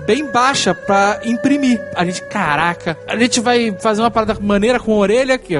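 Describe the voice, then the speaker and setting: Brazilian male podcast host, very high voice, excitable and slangy, music softly behind. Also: moderate pace. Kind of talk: casual podcast chat.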